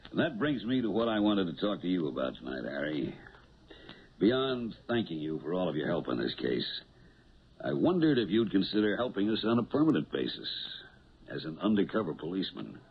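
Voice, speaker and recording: Deep-voiced, genial police lieutenant. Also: male voice, big pitch swings, fairly quick, gravelly, exaggerated voice. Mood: genial, persuasive. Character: genial, shrewd police lieutenant recruiting a helper. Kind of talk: 1970s radio drama acting. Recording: tinny, hissy 1970s radio broadcast.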